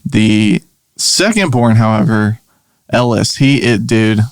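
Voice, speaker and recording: A man's voice: fairly low pitch, animated, no room sound. Chatty man full of fillers. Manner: chatty, halting, many fillers and restarts. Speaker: podcast co-host. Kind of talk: casual chat.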